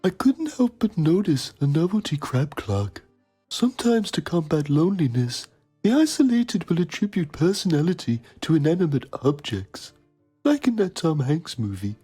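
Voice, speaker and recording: A woman's voice: deep voice, big pitch swings, normal speed, warm tone, husky, faint music behind, full character voice. A woman playing a pretentious, self-admiring male journalist, narrating a mock documentary in a theatrical manner. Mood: pompous musing. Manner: pompous, theatrical.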